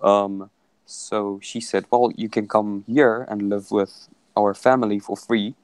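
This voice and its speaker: A man's voice: fairly low pitch, fairly even intonation, clean tone, dry recording. Man, Afrikaans first language, hesitant casual chat, dry close sound. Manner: hesitant, halting, many restarts. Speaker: guest. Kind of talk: casual video call chat.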